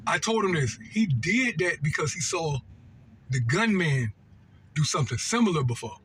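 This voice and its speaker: A man's voice: sits high, pushed and bright, a little hiss or hum, low-quality recording. An American man telling a personal story casually off the cuff, slangy and repetitive, often checking that the listener follows. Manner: slangy and repetitive, seeking agreement with "right?" and "know what I mean". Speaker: storytelling vlogger.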